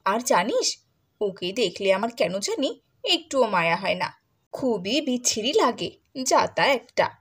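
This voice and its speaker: A woman acts out a cruel middle-aged cartoon stepmother. She is theatrical and scornful, quick to scold and given to gushing over her favourite child. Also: mid-range voice, big pitch swings, slightly rough, heavily acted. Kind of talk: Bengali cartoon dubbing.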